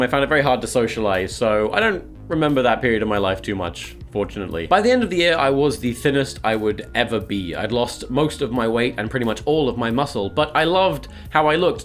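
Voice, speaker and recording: A man in his twenties with a British accent, telling a personal story in a semi-scripted, confessional way as a video creator. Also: medium pitch.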